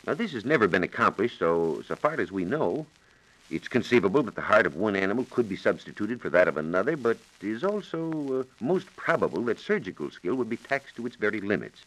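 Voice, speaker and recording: A male science reporter speaks on a vintage 1940s radio recording. His low voice moves through a wide, animated range of pitch. He explains things methodically, in careful and formal phrasing. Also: a little fast, poor audio.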